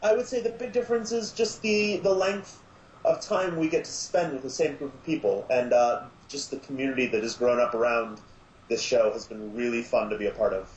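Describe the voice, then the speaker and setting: A man speaking as an interview guest in casual chat, enthusiastic but halting and reflective. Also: medium pitch, fairly even intonation, reverberant, a little hiss or hum, low-quality recording. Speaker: podcast guest, audio drama maker.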